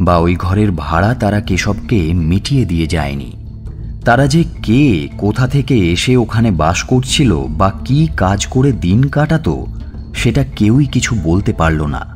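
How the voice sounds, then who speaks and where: A man's voice: fairly low pitch, darkish tone. A man, reading a detective story in the first person as scripted dramatic audiobook narration.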